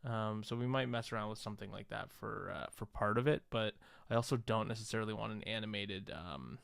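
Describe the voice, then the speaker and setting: Low, gravelly man musing on a livestream. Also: brisk, fairly bright tone, dry recording, clean studio sound. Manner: musing, hesitant, thinking aloud in fragments. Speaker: streamer and visual artist. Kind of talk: casual livestream commentary while working on screen.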